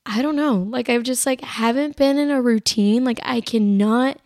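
A young woman with a raspy voice hosting her own podcast, chatting casually and rambling with plenty of "like", tired as she recovers from being sick. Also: on the high side, normal speed, a little mellow, no echo, studio quality. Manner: rambling, filler-heavy, lots of "like". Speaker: podcast host. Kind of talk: casual solo podcast chat.

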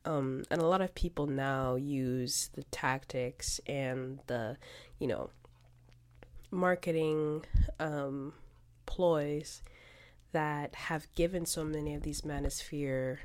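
Woman, young adult, deep voice, congested, rambling podcast host. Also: slow pace, a little mellow. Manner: rambling, frequent fillers and restarts. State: congested from a cold. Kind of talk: casual solo podcast talk.

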